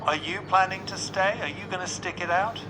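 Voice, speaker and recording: A man presenting radio news, asking probing, measured questions in an interview. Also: high voice, steady tone, background noise.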